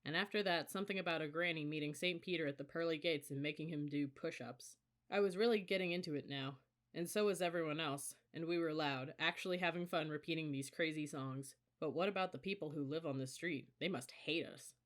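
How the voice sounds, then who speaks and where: Audiobook reader: bright, forceful tone, scripted first-person novel narration. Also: mid-range voice, brisk.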